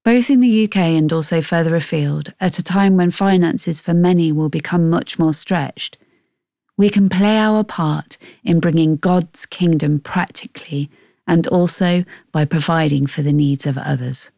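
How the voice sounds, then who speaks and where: A woman reading a scripted Bible devotional in a measured, reflective way. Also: deep voice, vocal fry, mellow, no echo, clean studio sound. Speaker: devotional reader. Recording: faint music behind.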